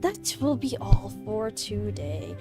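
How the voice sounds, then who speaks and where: A woman's voice: medium pitch, sing-song, slow pace, over music. A woman co-hosting a game livestream, chatting casually with frequent giggles. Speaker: game streamer, co-host.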